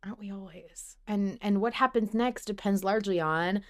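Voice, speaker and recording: A woman hosting a podcast, chatting casually and emphatically, with informal, animated delivery. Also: medium pitch, moderate pace. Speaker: podcast host. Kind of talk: casual fan podcast chat.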